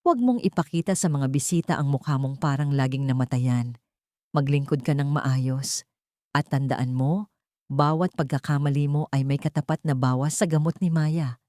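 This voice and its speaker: A woman's voice: deep voice, normal speed, dark tone, dry recording, clean studio sound, natural voice. A woman voicing a cruel, scornful older aunt who gives commands in an audio story. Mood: cold threat. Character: cruel, bitter, menacing older aunt of a rich household.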